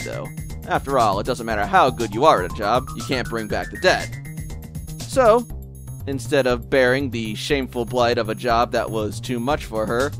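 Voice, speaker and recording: A man reads scripted narration for an audio drama. His voice is medium in pitch, his delivery is wry and story-like, and music plays underneath.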